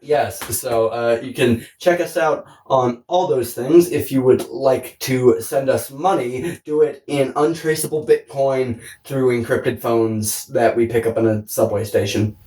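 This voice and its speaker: A man's voice: mid-range voice, measured pace, few pauses, warm tone. A man co-hosting a podcast in casual chat, rambling and joking, with profanity and self-deprecating humor. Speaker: podcast co-host. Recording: echoey room, distant from the mic.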